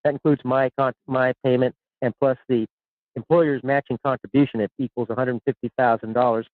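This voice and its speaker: A man's voice: medium pitch, steady tone, a little fast, no echo. Caller, man in his 60s, rambly and repetitive, phone-line sound, dry. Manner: rambling, repeats words, chuckles. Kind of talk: call-in radio chat. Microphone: telephone.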